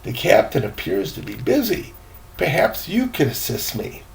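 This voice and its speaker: An older man voicing a courteous, learned elderly gentleman in a storybook, speaking formally and precisely with slight pompousness. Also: medium pitch, huge pitch swings, moderate pace, gravelly, full character voice. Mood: polite.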